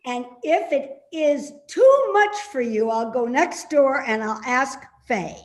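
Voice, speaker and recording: A cranky, stubborn elderly mother, played by a woman in a stage reading, heard in an echoey room. She speaks slowly in a fairly high voice, grumbling and laying on the guilt. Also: lively intonation. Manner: grumbling, guilt-tripping, pointed. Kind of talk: readers theater stage acting. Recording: roomy, echoey sound.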